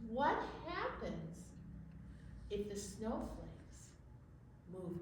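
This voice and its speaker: A woman's voice: medium pitch, big pitch swings, extremely slow. A woman hosting an interactive children's storytime, encouraging and full of questions for her young listeners. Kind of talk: interactive storytime talk with slow sung finger play. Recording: very echoey room, distant mic, faint noise.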